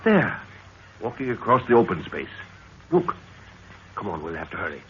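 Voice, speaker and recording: A man's voice: on the low side, lively intonation, moderate pace, a bit bright. An actor playing an older professor and father, blustery, paternal and insistent, in a 1930s radio drama. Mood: agitated. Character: older professor father, dismissive, eagerly obsessed with mysteries. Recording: dull, noisy 1930s radio recording.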